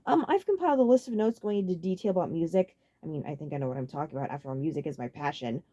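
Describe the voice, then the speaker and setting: A woman's voice: low voice, expressive, racing, dark tone, highs cut off. A woman voicing a sarcastic, short-tempered older brother who is passionate about music, in an audiobook story. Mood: forced cheer, irritated. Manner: exaggerated, seething sarcasm.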